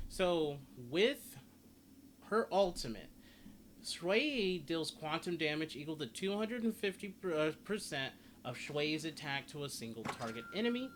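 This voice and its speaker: A man's voice: on the high side, very animated, moderate pace, a bit bright. A man giving a casual game character guide, rambling and often repeating and rephrasing himself. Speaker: gaming video host. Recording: clearly noisy home recording.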